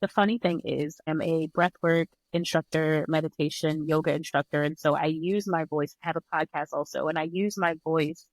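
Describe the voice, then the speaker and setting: A woman's voice: sits low, fairly even intonation, moderate pace, hardly any pauses, dry recording. A woman speaking as an interview guest, reflective and conversational, with an earnest, encouraging way of talking. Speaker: podcast guest, breathwork and yoga instructor.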